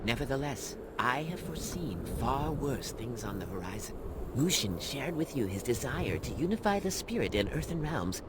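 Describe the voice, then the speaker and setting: An actor playing a grave, foreboding mystic in a video game, speaking formally and earnestly. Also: male voice, mid-range voice, heavy background noise, close to an everyday voice. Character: grave, foreboding male mystic ally of a warrior. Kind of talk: scripted video game cutscene acting. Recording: loud music behind the voice.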